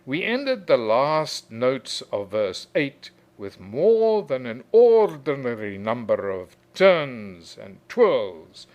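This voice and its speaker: A man reads a literary story aloud. His mid-pitched voice moves up and down in animated swings. He stretches certain phrases for emphasis, in the measured manner of a storyteller. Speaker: reader of a short story. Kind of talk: expressive literary storytelling narration.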